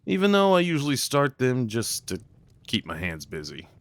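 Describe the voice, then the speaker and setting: A man's voice: medium pitch, wildly swooping pitch, normal speed, no echo. A man playing an affectionate, playful boyfriend in an intimate ASMR roleplay, talking tenderly and rambling with light teasing. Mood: fond, pleased. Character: affectionate, playful, crafty boyfriend cuddling his partner.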